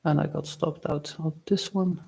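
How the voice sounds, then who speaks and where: Gravelly-voiced man on a live stream. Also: on the high side, fairly even intonation, a little mellow. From Belgium. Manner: casual, halting, reads chat aloud with fillers. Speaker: day trader streaming live. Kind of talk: live-stream trading commentary.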